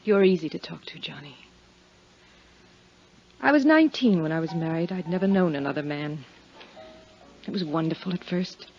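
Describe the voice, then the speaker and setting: An actress in her twenties playing a lonely young widow in a 1950s radio drama, speaking in a soft, hesitant, confiding way. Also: sits low, expressive, normal speed, long pauses, faint music behind. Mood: wistful. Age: 20s. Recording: muffled 1950s radio broadcast.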